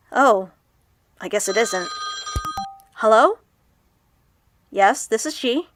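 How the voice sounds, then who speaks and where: An actress playing a lonely, troubled young woman who confides in her vlog, with a husky, emotional, halting delivery in an audio drama. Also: sits high, fairly bright tone, full character voice. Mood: anxious. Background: music.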